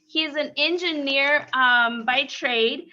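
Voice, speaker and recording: Host, woman: high bright voice, upbeat, lots of fillers, lo-fi stream sound. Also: slow pace. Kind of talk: live-streamed interview. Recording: lo-fi stream audio.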